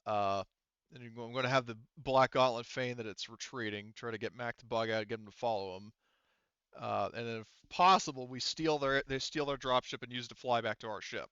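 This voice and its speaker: A man's voice: medium pitch, steady tone, brisk, fairly bright tone, clear, resonant tone, no room sound, muffled recording, close to an everyday voice. A man playing a mercenary commander in a tabletop game, briefing his squad in a plain-spoken, practical way. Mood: practical, urgent.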